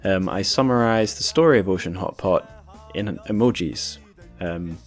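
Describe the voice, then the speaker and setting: A man's voice: low-pitched, moderate pace, soft background music. A man hosting a podcast in an informal, rambling, self-deprecating way. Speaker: podcast host. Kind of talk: casual podcast chat.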